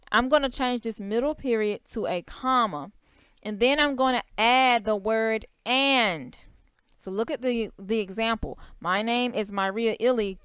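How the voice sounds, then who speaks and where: A woman's voice: mid-range voice, a bit bright, clean tone, no room sound, studio quality. A young woman teacher explaining a writing worksheet to young children step by step, in a patient, careful way. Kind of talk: recorded writing lesson over a worksheet.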